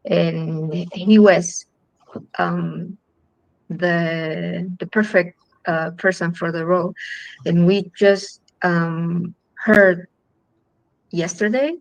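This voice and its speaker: A woman filmmaker from Chile with a Chilean Spanish accent, speaking English in a halting way full of ums and restarts. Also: lowish voice, steady tone, creaky, no echo, rough recording. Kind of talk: festival Q&A talk.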